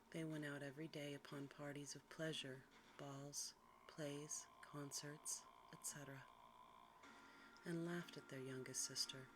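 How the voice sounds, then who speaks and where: Hushed ASMR storyteller over clearly noisy ambience. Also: mid-range voice. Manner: quiet, hushed, soft-spoken delivery. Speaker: narrator, storyteller. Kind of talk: ASMR bedtime story reading, scripted narration.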